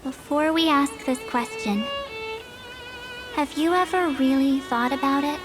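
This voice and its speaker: A woman's voice: high-pitched, poor audio. A woman voicing an earnest, defiant young traveler in a video game, speaking assertively in casual phrasing.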